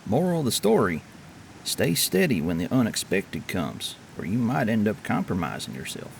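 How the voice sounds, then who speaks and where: Gravelly guy reading stories theatrically, very animated pitch, bit of background noise. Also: normal speed. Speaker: storyteller and performer. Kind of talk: theatrical scripted narration.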